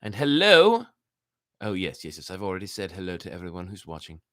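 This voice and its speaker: A man's voice: low-pitched, huge pitch swings, fairly quick, a bit bright, no echo, studio quality. A man hosting an online story time, reading tales and chatting to listeners in rambling asides. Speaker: host reading stories to a live online audience.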